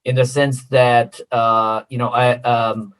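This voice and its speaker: A middle-aged man from the United States, a consultant guest answering interview questions in a relaxed, friendly way with many fillers. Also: mid-range voice, slow pace, clear, resonant tone.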